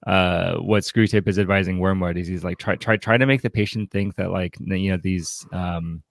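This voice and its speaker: Male podcast co-host, low register, casual halting book discussion, very dry close recording. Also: steady tone, creaky, no echo. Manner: halting, thinking aloud.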